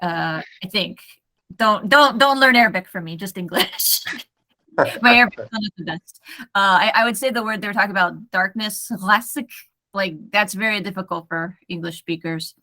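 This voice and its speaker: American woman, bright and clear, friendly online English tutor. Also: mid-range voice, normal speed. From United States. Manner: friendly, self-correcting, reads text aloud carefully. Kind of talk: casual online lesson.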